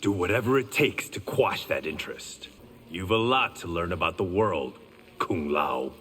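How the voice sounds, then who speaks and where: A man's voice: low voice, lively intonation, moderate pace, a bit bright, big-room sound, slight background noise. An actor voicing a stern older male mentor in a video game.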